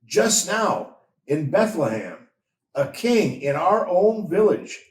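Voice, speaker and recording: A man's voice: high voice, very animated, takes it slowly, voice pushed up, full character voice. An earnest shepherd father from a storybook, read by a man, makes his point with urgency and emphasis. The voice echoes in the room, a little away from the microphone. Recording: roomy echo, distant from mic.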